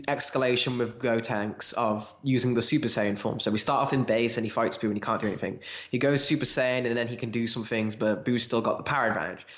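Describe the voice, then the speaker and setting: Male podcast co-host, mid-pitched, informal and eager fan talk that rambles along, captured in an echoey room. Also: fairly bright tone. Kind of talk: casual fan discussion. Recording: roomy, echoey home recording.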